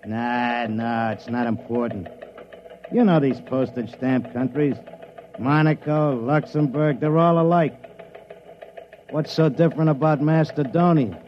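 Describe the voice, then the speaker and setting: An actor playing an impatient, offhand newsroom editor on the telephone in a 1950s radio drama. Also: male voice, mid-range voice, steady tone, measured pace, slight background noise, close to an everyday voice. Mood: brisk impatience. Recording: dull vintage radio drama recording.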